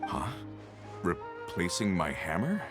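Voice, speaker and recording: A man's voice: sits low, sing-song, slow pace, full character voice. A man playing a weary, middle-aged ordinary laborer in a video game, hesitant and plain-spoken. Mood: puzzled, earnest. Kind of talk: video game character acting. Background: background music.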